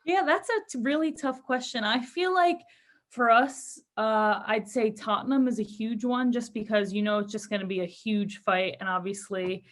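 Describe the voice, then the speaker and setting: A woman's voice: fairly high pitch, fairly even intonation, moderate pace. A young adult woman, a footballer, answering interview questions casually in an upbeat, earnest way. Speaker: professional footballer being interviewed.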